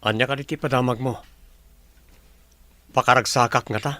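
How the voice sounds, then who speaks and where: A man's voice: medium pitch, fairly even intonation, moderate pace, pushed and bright, close to an everyday voice. A man playing a young businessman and boss in an Ilocano radio drama, with an Ilocano accent. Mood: curt, surprised. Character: young businessman, a boss and wealthy man's son.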